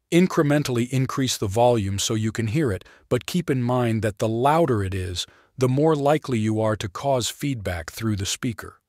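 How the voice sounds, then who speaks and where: A man reads a scripted product tutorial in a clear, instructional manner, explaining each step plainly. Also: medium pitch, no echo, clean studio sound. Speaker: product demonstrator and narrator.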